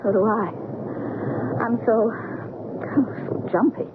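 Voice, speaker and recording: An actress playing a fond, uneasy American wife, with halting, theatrical delivery in a 1970s radio drama. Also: female voice, medium pitch, fairly even intonation, lightly acted. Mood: nervous. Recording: noisy, phone-like 1970s radio broadcast.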